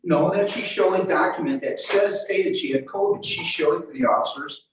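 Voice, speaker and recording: A man working as a lawyer in a courtroom, questioning in a halting, repetitive, insistent way. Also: a little high, a little hiss or hum. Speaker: defense lawyer questioning a witness. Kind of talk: courtroom cross-examination. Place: indoor courtroom. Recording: echoey courtroom, distant microphone.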